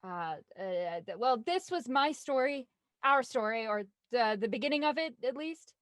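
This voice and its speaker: A woman's voice: on the high side, animated, normal speed, a bit bright, no echo, clean studio sound. A woman playing an anxious twenty-four-year-old writer finding her nerve, in a naturalistic, hesitant audio drama performance. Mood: hesitant, sincere. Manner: hesitant, earnest.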